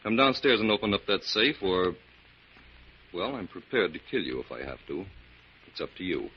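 An actor playing a menacing burglar in a 1940s radio crime drama, cold, commanding and threatening. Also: male voice, low-pitched, lively intonation, normal speed. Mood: cool menace. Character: menacing male burglar threatening a wealthy woman. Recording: muffled, noisy 1940s radio recording.

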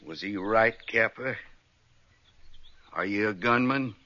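A man's voice: lowish voice, takes it slowly, sharp tone. An actor playing a belligerent, taunting cattleman villain in an Old West radio drama. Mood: accusing. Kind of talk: 1950s radio western acting. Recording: muffled old radio recording with faint hiss.

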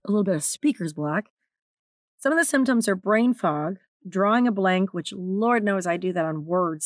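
A woman with a naturally raspy, slightly strained voice, hosting her own podcast in a candid, chatty way. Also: mid-range voice, no room sound, studio quality. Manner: candid, chatty, self-deprecating. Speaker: podcast host and author. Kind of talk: solo podcast talk.

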